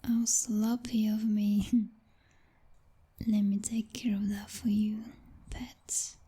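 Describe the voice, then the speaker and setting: A woman acting as a possessive vampire girlfriend in an intimate ASMR roleplay. Her whisper is very breathy and very soft-toned, unhurried as she teases, dominates and coddles with condescending pet names. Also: mid-range voice, little pitch movement. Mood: teasing, coddling. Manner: slow, purring, teasing, condescending pet names.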